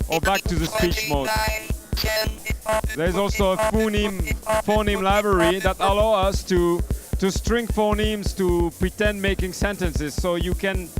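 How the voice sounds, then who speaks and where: A man giving an informal product demonstration as a synth maker, explaining in a halting way. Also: sits high. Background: electronic synth sounds and beats.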